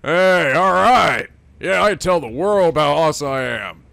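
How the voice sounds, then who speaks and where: A man hosting a game let's play, reacting excitably and laughing often. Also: on the high side, measured pace, gravelly, no echo. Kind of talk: gaming commentary.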